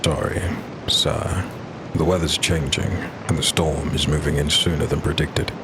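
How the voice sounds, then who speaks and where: A man doing a very deep, formal lift attendant. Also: steady tone, normal speed, vocal fry, background noise. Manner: formal, apologetic. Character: polite male ski lift attendant.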